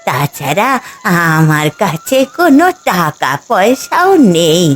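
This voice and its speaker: A woman's voice: fairly low pitch, very animated, takes it slowly. A woman voicing a poor, hungry old village woman in a cartoon, pleading and meek. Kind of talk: Bengali cartoon voice acting.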